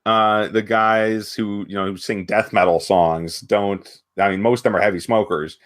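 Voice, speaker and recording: A man's voice: lowish voice, steady tone, normal speed, a bit bright. A man co-hosting a podcast, talking casually and thoughtfully as he works through examples. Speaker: podcast co-host.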